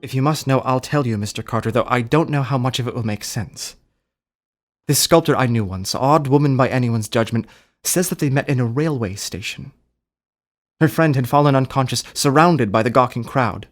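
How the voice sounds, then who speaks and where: An actor playing a formal, sceptical art dealer narrating an uncanny tale in a radio drama, with deliberate delivery. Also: male voice, mid-range voice, close to an everyday voice. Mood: reserved, recounting.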